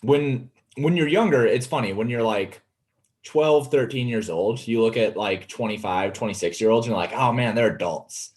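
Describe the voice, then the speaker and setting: A man's voice: medium pitch, moderate pace. A man in his late twenties co-hosting in casual chat, joking in an easygoing way and often saying "like". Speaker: co-host. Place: indoor home room on a video call.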